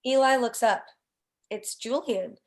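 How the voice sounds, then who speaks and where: A woman's voice: on the high side, measured pace. A woman reading out the stage directions of a comedy screenplay as scripted narration.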